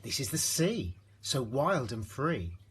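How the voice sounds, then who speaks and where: A man reading a rhyming children's storybook aloud in an expressive narrating style. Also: medium pitch, slow, slightly rough. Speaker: storybook reader.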